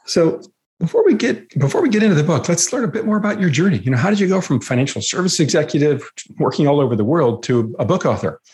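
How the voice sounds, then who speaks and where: A man's voice: a little high, sing-song, quick pace, a little mellow. A man hosting a podcast, reading a scripted introduction and then interviewing a guest. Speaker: podcast host.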